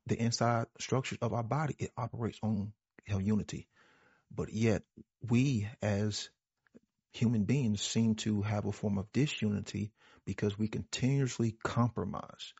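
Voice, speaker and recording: A man's voice: fairly low pitch, vocal fry, a little mellow, slightly rough. A man giving a reflective spiritual talk in an earnest, preachy manner, repeating phrases for emphasis. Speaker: panel speaker. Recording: roomy, dull lo-fi audio.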